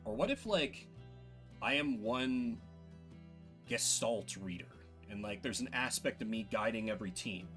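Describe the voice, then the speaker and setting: A man's voice: lowish voice, lively intonation, unhurried, a bit bright, soft background music. A man giving casual livestream game commentary, musing and thinking aloud as he plays. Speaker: streamer playing and voicing a game.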